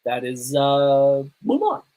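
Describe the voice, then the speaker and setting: Guy podcast host chatting about movies live, medium pitch, emphatic and kinda halting. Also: big pitch swings, warm tone. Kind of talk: casual movie review on a live stream.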